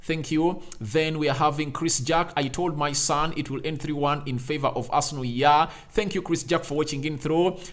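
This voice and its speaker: A man's voice: fairly high pitch, normal speed, hardly any pauses. A man hosting a live football reaction show, reading out viewer comments and giving his views in an emphatic, repetitive way. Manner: emphatic, repeats phrases for effect.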